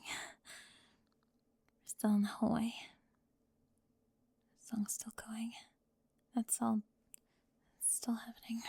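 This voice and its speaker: A woman playing a talkative, bossy high school girl who gets easily flustered, in an ASMR roleplay with halting speech and breathy drops toward a whisper. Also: mellow, dry recording, heavily acted. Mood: tender, flustered.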